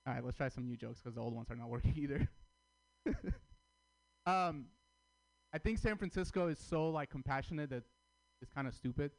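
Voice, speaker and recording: A man from Armenia, raised in Russia, performing a stand-up comedy set with crude, deadpan punchlines. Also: medium pitch, moderate pace, pauses often, rough recording. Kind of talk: stand-up routine at open mic.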